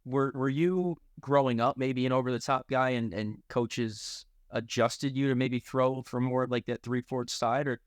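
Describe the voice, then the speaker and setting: A man's voice: mid-range voice, steady tone, moderate pace, few pauses, clear, resonant tone, no echo, clean studio sound. A man co-hosting a sports podcast, asking long, conversational, somewhat rambling questions. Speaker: podcast co-host and interviewer.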